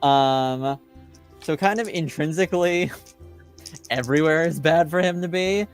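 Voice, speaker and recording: A man acting as game master, improvising narration and rulings in a hesitant, measured way with pauses. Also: fairly high pitch, clear, resonant tone, soft background music.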